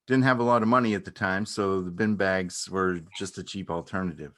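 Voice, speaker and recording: Low-pitched male actor as a shy beekeeper; halting, understated, earnest stage dialogue. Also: steady tone, fairly bright tone. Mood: matter-of-fact storytelling. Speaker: amateur or local theatre actor. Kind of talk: naturalistic dramatic reading of a stage dialogue.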